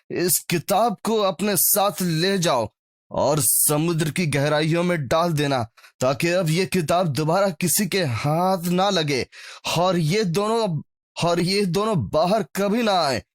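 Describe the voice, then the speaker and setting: Guy playing grave serious dude in horror cartoon, slow, a bit high, faint music behind. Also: no echo, studio quality. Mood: solemn warning. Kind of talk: animated horror story acting.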